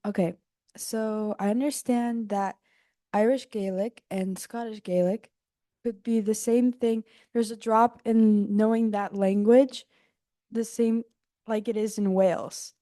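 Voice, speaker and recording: A young woman in the audience asks a question in a casual, searching way. Her voice is warm and mellow, and the sound is dry and close. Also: mid-range voice, fairly even intonation, unhurried, dry recording. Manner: casual, searching phrasing with fillers. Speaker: audience member asking a question. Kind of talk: conference Q&A question.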